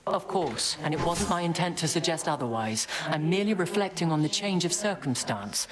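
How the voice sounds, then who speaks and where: A wise, proud warrior goddess, measured and diplomatic. Her voice is low, and loud crowd-like noise fills the background. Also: expressive.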